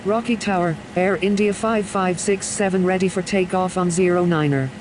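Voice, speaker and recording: A performer voicing a calm, businesslike airline pilot reading back clipped radio phraseology in an air traffic control game. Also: mid-range voice, moderate pace, close to an everyday voice. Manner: formulaic, procedural read-backs.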